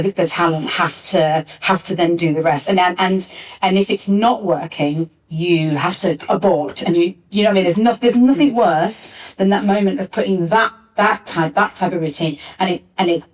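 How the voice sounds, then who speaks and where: A woman speaking as a writer on a discussion panel, explaining emphatically and often repeating phrases as she goes. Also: fairly low pitch. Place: arts centre venue, live panel. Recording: distant backup recording of live panel.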